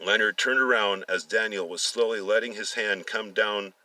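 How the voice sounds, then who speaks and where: A man reading a story aloud, halting at times as he stumbles and repeats words. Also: on the low side, fairly even intonation, sharp tone, dry recording, distant mic, rough recording. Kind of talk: dramatic story reading with acted dialogue.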